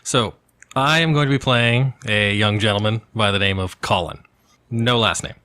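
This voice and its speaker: Bright, low-pitched man chatting as a player. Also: normal speed. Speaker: tabletop game player. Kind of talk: casual tabletop game chat.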